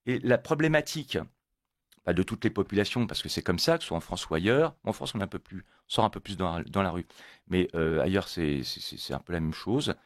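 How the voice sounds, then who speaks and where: Man born in italy, emphatic radio guest, lively swooping pitch, quick speech, dry studio sound. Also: dry recording. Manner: emphatic, animated, repeats words when pressed. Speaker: guest, motorcyclists' federation coordinator. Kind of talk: radio interview.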